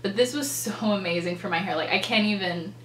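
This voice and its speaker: A woman's voice: mid-range voice, brisk. A young woman in her twenties reviewing hair products to camera from her bedroom, chatty and enthusiastic with a lot of ums and likes, and a little stuffed up and nasal from a cold. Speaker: beauty vlogger. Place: bedroom, indoors. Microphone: camera in front, seated. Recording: echoey room with faint hum.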